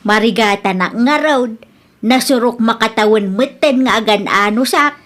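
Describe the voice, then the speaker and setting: A woman's voice: on the high side, moderate pace, fairly bright tone, lightly acted. A woman playing a long-suffering aging mother in a radio drama, plaintive and complaining, with an Ilocano accent. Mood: aggrieved.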